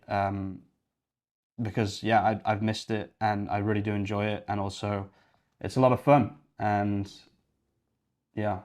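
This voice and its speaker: A young man from Yorkshire, chatting casually and thinking aloud while hosting a coding livestream. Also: on the low side. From Yorkshire, England. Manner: rambling, thinking aloud while clicking around.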